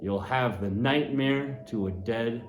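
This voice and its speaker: A sly, teasing innkeeper with a folksy old-timey dialect, as a man reads him out, pitched above the reader's own voice. The sound is roomy and echoing. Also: slow, background noise, lightly acted. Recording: roomy echo.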